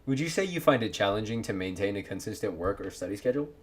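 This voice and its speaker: Low-voiced young man, rambling and earnest. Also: lively intonation, quick pace, a little hiss or hum. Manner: rambling, earnest, swears often, laughs a lot. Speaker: content creator. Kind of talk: casual chat.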